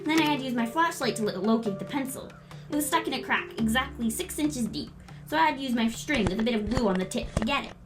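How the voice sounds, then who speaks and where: A woman playing a brisk, confident, quirky girl in a family audio drama, breezy and matter-of-fact. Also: fairly high pitch, expressive, music in the background, close to an everyday voice. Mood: proud, brisk.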